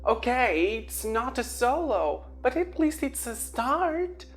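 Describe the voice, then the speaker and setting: A woman voicing a dramatic, flustered teenage girl witch who talks in a high-strung, theatrical way. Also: very animated, takes it slowly, full character voice.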